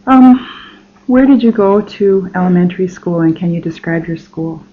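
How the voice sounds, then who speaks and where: A woman's voice: lowish voice, moderate pace. A woman interviewer asking brief, plain questions in an oral history interview. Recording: thin phone-like sound with faint hiss.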